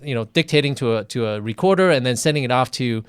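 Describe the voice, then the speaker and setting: Smooth-voiced man hosting a chat. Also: mid-range voice, lively intonation, fast, no room sound, studio quality. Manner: thoughtful, repeats words as he talks. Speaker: physician hosting a live interview. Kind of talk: conversational interview.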